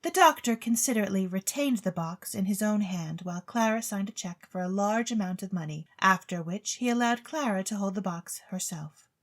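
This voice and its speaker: A woman's voice: medium pitch, fairly quick. A woman storyteller reading a scripted tale in a theatrical storybook narration style.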